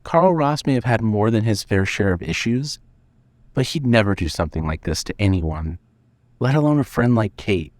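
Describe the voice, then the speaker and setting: A man's voice: lowish voice, animated, moderate pace, no room sound. A man narrating scripted suspense fiction as the storyteller of an audio drama.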